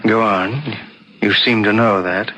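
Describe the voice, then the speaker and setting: An actor playing a cornered, evasive murder suspect who answers with defensive scorn in a 1970s radio mystery. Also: male voice, fairly low pitch, steady tone, takes it slowly, sharp tone, exaggerated voice. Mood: scornful, evasive. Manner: defensive, dismissive. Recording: hissy old 1970s radio recording.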